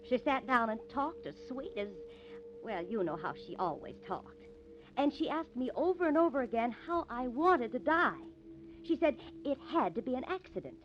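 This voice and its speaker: Plucky, sardonic wife, fairly high voice, muffled vintage radio sound. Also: female voice, sing-song, moderate pace. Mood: pointed. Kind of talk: 1950s radio drama acting. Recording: dull, noisy old 1950s radio recording.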